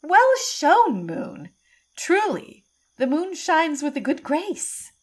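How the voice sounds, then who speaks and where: A woman's voice: high voice, very animated, takes it slowly, full character voice. A woman playing a haughty noblewoman passing comment in a Shakespearean audio play. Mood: amused praise.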